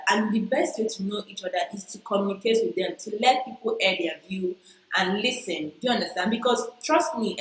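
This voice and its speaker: A woman's voice: medium pitch, echoey room, rough recording. A woman with a strong Igbo Nigerian accent, chatting playfully to camera as a vlogger and repeating herself for emphasis. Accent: Igbo-accented Nigerian English. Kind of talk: casual chat to camera.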